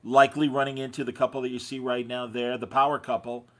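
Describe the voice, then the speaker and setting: A man's voice: mid-range voice, little pitch movement, fast, a bit bright. A man hosting a podcast, chatting casually and effusively with his guests. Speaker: podcast host. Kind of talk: casual interview chat.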